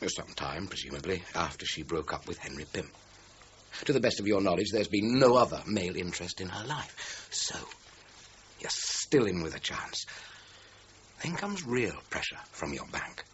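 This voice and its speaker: A methodical senior British detective in a radio crime drama. His voice is deep and widely inflected, formal and coolly sardonic. The sound is somewhat muffled. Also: big pitch swings, moderate pace. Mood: coolly accusing. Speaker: actor. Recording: muffled audio with faint noise.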